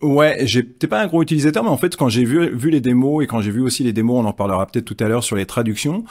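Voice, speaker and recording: Male panelist, rapid talker, mid-pitched, wondering aloud in run-ons. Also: lively intonation, darkish tone. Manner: long questioning run-on sentences. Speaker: podcast guest. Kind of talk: casual tech podcast chat.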